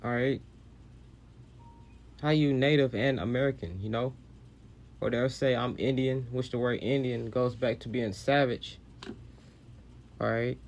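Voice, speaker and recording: Brief: male online preacher, casual, repetitive commentary, mid pitch. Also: steady tone, unhurried, creaky, long pauses, darkish tone. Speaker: religious commentator. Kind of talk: sermon-like commentary on video clips.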